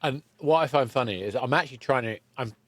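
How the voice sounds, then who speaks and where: Male interviewer-host, medium pitch; conversational explainer with emphatic repeats, no room echo. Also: fast, a bit bright. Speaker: podcast host.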